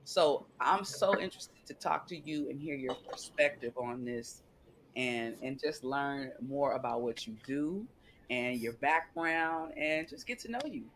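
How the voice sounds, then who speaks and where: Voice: mid-range voice, unhurried, a bit bright, slight background noise. A podcast host asking questions in an earnest, conversational way, leaning on "you know". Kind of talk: casual interview chat.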